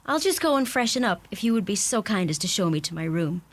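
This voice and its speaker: An actress playing a formal, polite young American fiancée in a radio play. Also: female voice, medium pitch, big pitch swings, fast talker. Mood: courteous. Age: young adult.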